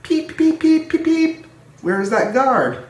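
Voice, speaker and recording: A man's voice: very high-pitched, sing-song, takes it slowly, pitched above the performer's own voice, full character voice. A man voicing an eager, cheerful, polite little steam engine from a children's storybook. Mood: eager, impatient. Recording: roomy echo, distant microphone.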